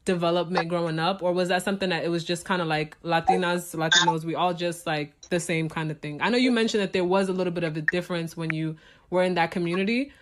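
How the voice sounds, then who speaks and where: A woman hosting a podcast, talking casually with frequent fillers and quick agreements. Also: lowish voice, a little hiss or hum. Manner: relaxed, frequent likes, quick affirmations. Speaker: podcast host. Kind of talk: casual conversation.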